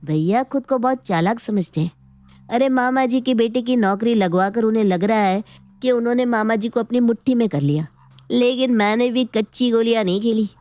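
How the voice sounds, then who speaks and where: A woman voicing a competitive young niece who flatters sweetly and schemes, in an animated moral story. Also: fairly high pitch, moderate pace, dry recording, lightly acted. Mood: determined, scheming. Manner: sugary, ingratiating.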